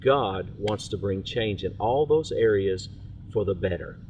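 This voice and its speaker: A man's voice: fairly low pitch, a little slow, a little mellow, dull audio, a little hiss or hum. A middle-aged man, a pastor, giving a short devotional message outdoors in a park, speaking earnestly and steadily while gesturing.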